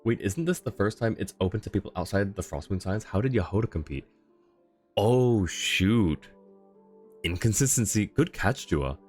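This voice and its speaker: A man's voice: lowish voice, lively intonation, moderate pace, a little mellow, faint music behind. A man giving casual livestream game commentary and chatting with viewers.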